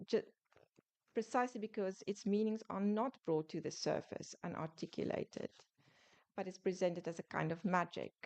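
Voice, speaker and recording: A woman author presenting her book in a prepared academic lecture, reading in a measured way with small restarts. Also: medium pitch, a little mellow. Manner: measured, reads closely from a script with small restarts.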